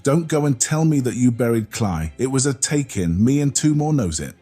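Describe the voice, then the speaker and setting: A man voicing a belligerent working-class Londoner, blunt and combative in rough dialect. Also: medium pitch, big pitch swings, normal speed, warm tone, pitched above the performer's own voice. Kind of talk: audiobook character reading.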